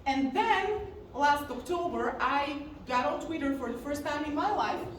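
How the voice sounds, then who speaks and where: A woman, giving an earnest, confessional talk from a conference stage and slowing down on key words. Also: high-pitched, lively intonation. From Bulgaria. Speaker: conference speaker. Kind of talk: personal story talk on stage. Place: large conference hall stage. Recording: very echoey hall, distant mic, faint noise.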